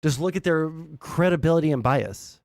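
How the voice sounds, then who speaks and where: A man's voice: fairly high pitch, expressive, moderate pace, a little mellow, no echo, clean studio sound. A young American man giving casual, rambling, blunt political commentary as a livestream host, swearing freely. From southern United States.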